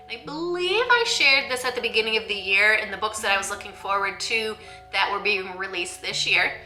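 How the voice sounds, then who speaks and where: Female book-channel host, enthusiastic and expressive, fairly high voice, faint music behind. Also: reverberant. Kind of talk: scripted-from-notes book review vlog addressed to viewers.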